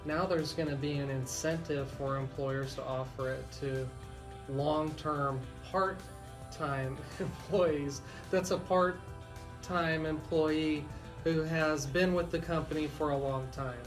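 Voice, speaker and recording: Higher-pitched man explaining on radio over music. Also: steady tone, slow. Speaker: radio legal expert.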